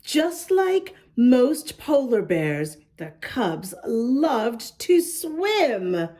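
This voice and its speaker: High, animated woman reading to little kids. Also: drawn-out words. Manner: expressive, sing-song, addressing small children. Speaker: children's story-time host reading a picture book. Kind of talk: children's read-aloud with asides to young listeners.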